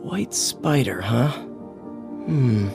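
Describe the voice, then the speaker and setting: A man's voice: mid-range voice, lively intonation, extremely slow, vocal fry, music in the background, exaggerated voice. A man playing an eager, awkward, good-hearted young superhero in a video game, with a casual, earnest, boyish delivery. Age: young adult.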